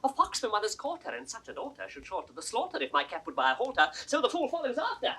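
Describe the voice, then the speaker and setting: An actor playing a cheeky court fool in a classical 1960s Shakespeare audio drama, calling out in sing-song rhyme. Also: male voice, extremely high pitch, wildly swooping pitch, quick pace, bright, forceful tone, slightly rough, exaggerated voice. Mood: mocking, playful.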